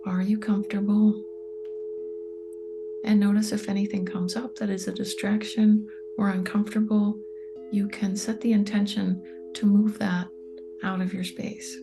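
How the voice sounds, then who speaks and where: A woman leading a guided meditation, speaking softly and slowly, often close to a whisper. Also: mid-range voice, level tone. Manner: soft, slow and soothing, often near a whisper. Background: soft meditation music with singing bowl tones.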